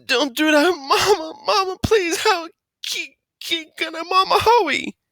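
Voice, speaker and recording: Shrill, wailing, panicked boy. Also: unhurried, sharp tone, dry recording, clean studio sound, full character voice. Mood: frantic wailing. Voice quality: mumbling, muffled. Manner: whining, desperate pleading. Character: panicking boy stuck by his lips, pleading, wailing.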